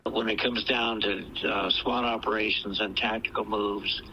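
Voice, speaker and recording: A man, a former police chief, explaining in a flat, matter-of-fact interview soundbite. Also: lowish voice, a little slow, vocal fry, a little hiss or hum, rough recording.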